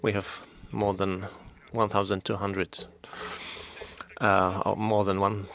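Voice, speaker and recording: A man interpreting conference speeches in English, delivering them in a measured, steady way and sometimes dropping almost to a whisper. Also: fairly low pitch. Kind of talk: simultaneous interpretation.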